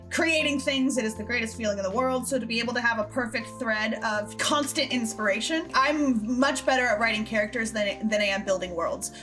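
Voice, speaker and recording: A woman hosting a casual group Q&A, talking effusively and with enthusiasm about creative work. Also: fairly high pitch. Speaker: host and creator of a fan series. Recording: background music under the voice.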